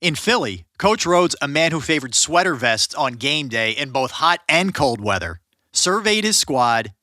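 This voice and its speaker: Lively bright-voiced male narrator. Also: fairly high pitch, measured pace, no echo, clean studio sound. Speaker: podcast co-host and narrator. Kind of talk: scripted documentary narration.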